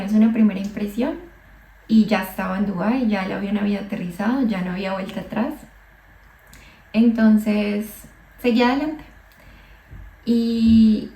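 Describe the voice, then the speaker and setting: A young woman from Colombia, a psychologist, telling her own migration story slowly and thoughtfully, with hesitant restarts. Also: mid-range voice, mellow, far from the mic. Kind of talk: reflective personal monologue. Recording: echoey room, voice a bit off mic.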